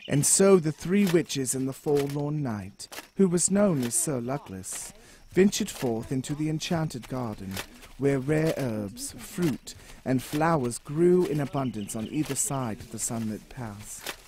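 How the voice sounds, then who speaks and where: A man's voice: mid-range voice, expressive, unhurried, dark tone, a little hiss or hum. A man giving dramatic scripted narration of a fairy tale for an audio drama. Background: music.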